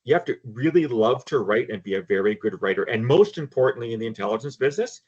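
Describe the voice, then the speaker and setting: A man's voice: medium pitch, lively intonation, fast, a little mellow, breathy edge, highs cut off. An older man, speaking frankly and emphatically as a guest in a casual panel discussion. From Canada. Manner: emphatic, frank, repeats words when starting. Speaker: guest, former intelligence analyst.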